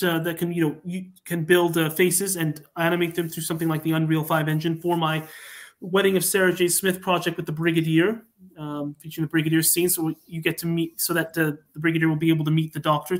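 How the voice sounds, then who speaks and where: A man speaks as a guest on a podcast over a video call. His voice is slightly high. He explains informally and wordily, halting often as he goes. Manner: halting, hesitant, wordy. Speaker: podcast guest, fan production creator. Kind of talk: informal project talk.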